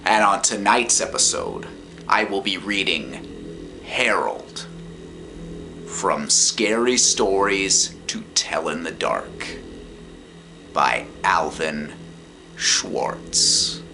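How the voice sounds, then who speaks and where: A man reading a scary story aloud as host, theatrical, with phrases trailing into a hushed breathiness. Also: deep voice, big pitch swings, drawn-out words, gravelly, long pauses, background noise. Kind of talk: dramatic horror story reading. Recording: loud music behind the voice. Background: loud music.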